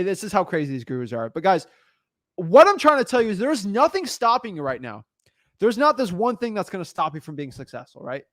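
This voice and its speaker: A young man hosting a casual chat, telling stories in an energetic, animated way. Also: high-pitched, dry recording, clean studio sound. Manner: energetic, fast-talking, animated storytelling. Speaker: podcast host.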